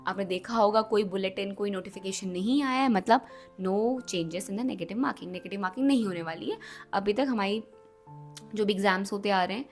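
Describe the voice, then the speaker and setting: A young Indian woman with a slightly hoarse throat, explaining things to students in a chatty, emphatic, reassuring vlog style, switching between two languages. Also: medium pitch, moderate pace, soft background music. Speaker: YouTube educator for exam aspirants. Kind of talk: casual explainer vlog in mixed Hindi and English.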